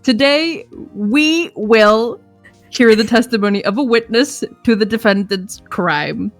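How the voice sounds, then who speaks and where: A woman's voice: a little high, slow, no echo, soft background music. A woman co-streamer with an Icelandic accent, joking and teasing in casual chat over a game.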